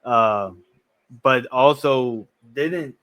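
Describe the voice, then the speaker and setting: A man in his thirties hosting a casual chat, relaxed and agreeable, thinking aloud as he talks. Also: mid-range voice, drawn-out words, clean tone. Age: 30s. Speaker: podcast host.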